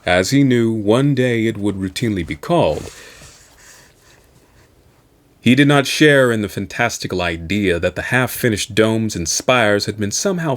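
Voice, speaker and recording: A man reading a science fiction novel aloud as an audiobook narrator, in a measured, dramatic storytelling delivery. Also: fairly low pitch. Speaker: audiobook reader.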